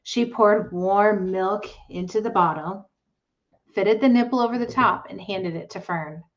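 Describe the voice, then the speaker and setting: A woman reading a children's storybook aloud as scripted narration, in a deliberate storytelling manner. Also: mid-range voice, muffled recording. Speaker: storybook reader.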